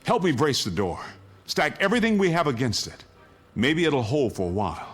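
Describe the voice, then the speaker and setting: A man's voice: a little high, sing-song, normal speed, breathy edge. An actor playing an embittered, commanding father defending his family's shelter in a radio drama. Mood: urgent.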